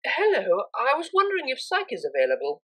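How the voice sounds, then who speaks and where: A woman voicing a refined young female pony student, polite, earnest and excitable, in an audiobook story. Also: high voice, fairly quick, husky, voice pushed up, full character voice. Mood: polite, nervous.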